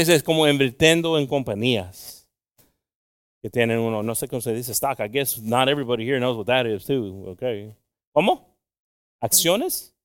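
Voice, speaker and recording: A Mexican American man delivers a bilingual sermon, joking with the congregation as he goes. His voice sits in an ordinary middle register. The sound is dry and close, with no room echo. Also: medium pitch. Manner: switches between English and Spanish, joking with the congregation. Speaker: pastor.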